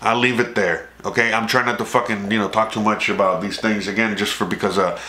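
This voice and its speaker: A man's voice: fairly low pitch, steady tone, fairly quick, sharp tone, reverberant. A man from South Florida with a Cuban American accent, hosting his own channel in a rambling, profane monologue full of "okay?" asides. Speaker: YouTube channel host. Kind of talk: casual profane monologue to camera.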